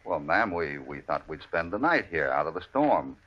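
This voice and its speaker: An actor playing a calm, courteous cowboy hero with an American accent and a measured, folksy manner in an old radio western. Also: male voice, a bass voice, slightly rough, full character voice. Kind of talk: 1940s-50s radio western acting. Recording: dull vintage radio recording.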